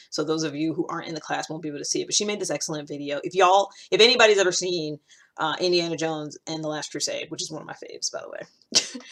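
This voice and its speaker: Woman, thirties, American accent, low pitch, informal podcast host, emphatic repetition, unscripted. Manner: informal, emphatic, repeats key points for stress. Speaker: podcast host giving self-improvement advice. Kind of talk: casual, unscripted talk to camera.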